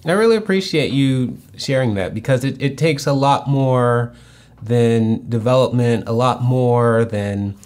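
A young man hosting an interview over a video call, asking calm, thoughtful questions from a small room at home. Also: medium pitch, unhurried. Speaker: host. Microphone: video call webcam.

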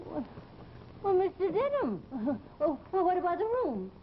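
A woman's voice: extremely high pitch, sing-song, unhurried, exaggerated voice. An actress playing an eccentric older landlady, rambling, hesitant and fussy, in early television drama style. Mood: chatty, dithering. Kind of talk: 1950s live television drama acting. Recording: dull, noisy old television soundtrack.

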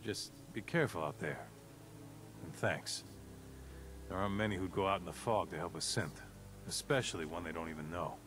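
A man playing a wary low-voiced shopkeeper. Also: fairly quick, pauses often, music in the background, lightly acted. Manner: wary, clipped, then remorseful. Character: guarded, curt male shopkeeper, middle-aged.

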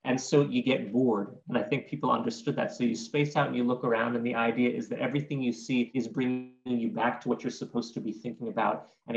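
A man's voice: mid-range voice, vocal fry, darkish tone. A man in his forties explains things articulately and didactically, as a guest in an interview. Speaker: guest art historian. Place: home room with bookshelves. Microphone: computer microphone on video call. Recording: dull, echoey video call audio.

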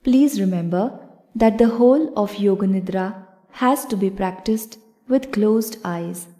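Casting: female yoga nidra guide, calm and measured, unhurried delivery, soft-edged relaxed tone. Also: mid-range voice. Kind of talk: guided meditation narration.

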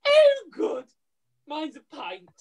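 A woman's voice: high voice, huge pitch swings, takes it slowly, a little mellow, heavily acted. A woman playing a brash, man-hungry older widow dame in an amateur pantomime read-through on a video call. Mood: brash, flirty.

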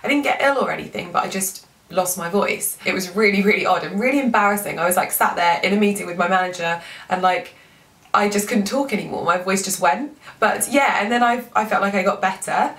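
A woman's voice: medium pitch, brisk, a bit bright. Congested young woman vlogging. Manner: chatty, frequent "like" and "um". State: congested, lost voice, sore throat. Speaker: beauty vlogger. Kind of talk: casual product review. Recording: echoey room, mic a bit far.

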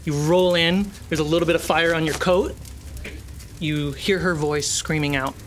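Female game master, low register, vivid cinematic tabletop narration over faint noise. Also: steady tone, moderate pace. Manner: dramatic, vivid storytelling. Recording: noisy, with ambience behind.

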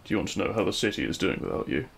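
A man playing a regretful, lovelorn supervillain in an audio roleplay, speaking in a hushed, halting, wistful way. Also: sits low, expressive, creaky, slight background noise, full character voice. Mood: tender, subdued. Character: regretful lovelorn male supervillain addressing his fallen hero. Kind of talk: scripted ASMR audio roleplay monologue.

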